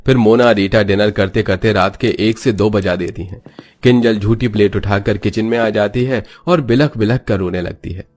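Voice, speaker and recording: A man's voice: lowish voice, normal speed, a little mellow. A man narrating a scripted moral story.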